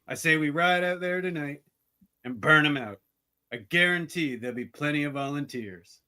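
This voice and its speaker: A man acts a hateful, hot-tempered deputy in a radio drama. The voice sits fairly high with a hard, forceful edge, pushing every point belligerently. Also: moderate pace, smooth. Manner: belligerent, insistent. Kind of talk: amateur radio theatre acting.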